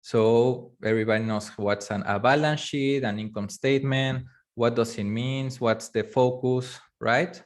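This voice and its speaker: A man whose first language is Spanish, teaching a live online course, talking in a halting way with many fillers and restarts. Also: medium pitch, fairly even intonation. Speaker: course instructor. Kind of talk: live online class lecture.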